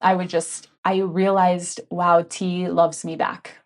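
A woman's voice: on the low side, flat delivery, slow. A woman who grew up in Hong Kong, a guest on a podcast, speaks candidly and reflectively about her life in a casual chat full of "like" fillers. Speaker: guest, tea ceremony guide.

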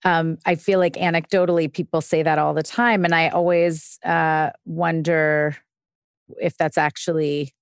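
A woman's voice: deep voice, level tone, measured pace, smooth, dry recording, studio quality. A woman hosting an interview podcast, asking questions and commenting in a casual, chatty way. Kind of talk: conversational interview.

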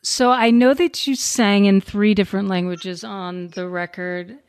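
A woman hosting an interview, asking questions and praising with slow, emphatic enthusiasm. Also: medium pitch, steady tone, clear, resonant tone, no room sound, clean studio sound. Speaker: podcast host.

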